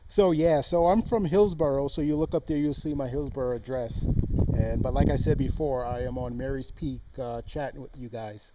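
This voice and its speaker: A man's voice: medium pitch, fairly even intonation, normal speed, background noise. A man from Oregon chatting casually as an amateur radio operator. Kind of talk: casual ham radio chat. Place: outdoors on a mountain, speaking into a handheld radio.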